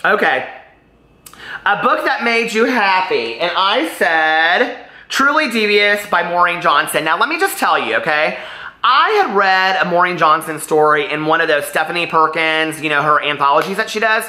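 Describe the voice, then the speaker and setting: Bright-voiced, chatty man, echoey room. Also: high voice, moderate pace. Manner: chatty, animated, rambling with tangents. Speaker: book vlogger. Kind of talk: casual chatty vlog.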